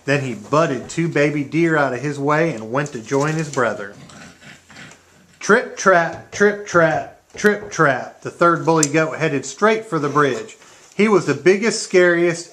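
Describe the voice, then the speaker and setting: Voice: mid-range voice, lively intonation, a little slow, a bit bright, a little hiss or hum. Storyteller narrating a children's puppet tale.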